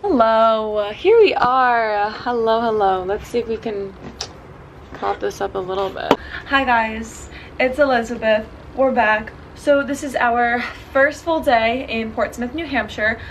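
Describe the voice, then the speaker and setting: A woman's voice: fairly high pitch, a little hiss or hum, poor audio. A young woman in her twenties chatting bubbly and casually to a handheld camera, talking through her travel plans as a vlogger. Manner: bubbly, chatty, quick asides. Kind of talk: casual vlog chat to camera. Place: car, then hotel room. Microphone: handheld camera at arm's length.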